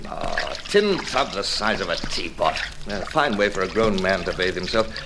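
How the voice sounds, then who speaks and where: An actor playing a proud, restless British sea captain in an old radio drama, wry and theatrical. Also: male voice, low-pitched, animated, moderate pace, background noise. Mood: grumbling. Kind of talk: 1940s-50s radio drama acting. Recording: vintage radio drama recording.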